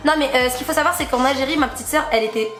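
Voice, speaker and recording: A woman's voice: high-pitched, very fast, a bit bright, reverberant, soft background music. A young woman from Algeria with a slight Algerian accent, chatting casually and laughing often as a vlogger. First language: Kabyle or Arabic. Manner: bubbly, laughs often, reads report card aloud. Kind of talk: casual chat.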